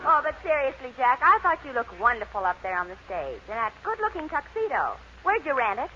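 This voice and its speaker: A woman's voice: high-pitched, very animated, a little fast. A woman with an American accent playing a teasing, wisecracking sidekick with punchy delivery in 1940s radio comedy. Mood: needling. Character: teasing, wisecracking woman who needles the vain star. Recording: tinny band-limited 1940s radio recording, faint noise.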